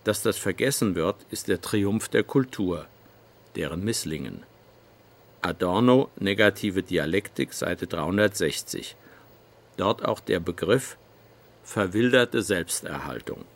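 A man's voice: low voice, expressive, unhurried. A man reading literary quotations and captions in a sober, formal announcing manner.

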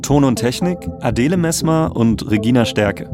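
Male podcast co-host, conversational scripted narration with clear music behind. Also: mid-range voice, expressive, moderate pace.

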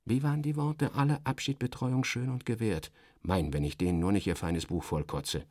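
A man's voice: fairly low pitch, steady tone, normal speed, a little mellow, dry recording, studio quality, lightly acted. An actor playing a sardonic, weary male journalist who narrates his own journey in a radio play, theatrically shifting between muttering and exclaiming. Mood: sardonic, impatient. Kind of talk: radio play first-person narration with acted dialogue.

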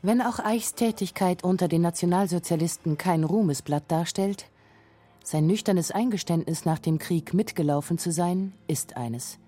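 A woman narrating a scripted radio feature in a sober, measured manner. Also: fairly low pitch, dark tone. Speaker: documentary narrator.

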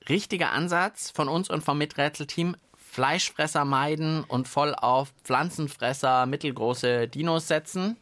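A man's voice: a little high, a little slow, few pauses, a bit bright, no echo. A man co-hosting a children's science show, chatting in a casual, playful way in a studio. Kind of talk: casual playful banter with co-host. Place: radio studio.

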